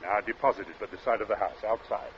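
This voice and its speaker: An actor playing a cold, urbane captain in an old radio thriller, speaking in a suave, measured way with polite menace and small hesitant asides. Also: male voice, lowish voice, lightly acted. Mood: brisk, commanding. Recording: dull, noisy vintage radio recording.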